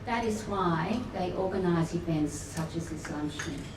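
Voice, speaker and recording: A woman welcoming guests at a luncheon, formal but with warm chatty asides. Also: fairly low pitch, measured pace. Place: function room at a luncheon event. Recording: echoey room, distant mic, noisy.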